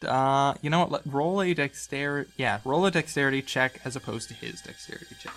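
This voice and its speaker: A man's voice: mid-range voice, fairly quick, a bit bright. Man game-mastering over a music bed. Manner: playful, conversational. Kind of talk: casual improvised tabletop game narration.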